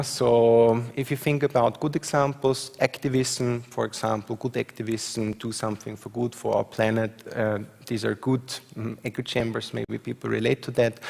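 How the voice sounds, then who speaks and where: A man with an Austrian accent lectures from a stage, his mid-pitched voice carrying a gravelly edge. He talks hesitantly, full of filler sounds, and often checks in with his listeners. The sound rings with a long reverb, as in a large lecture hall. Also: darkish tone. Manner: hesitant, many fillers, asks "yeah?" to check in with the audience. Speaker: university professor. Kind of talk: conference talk with slides.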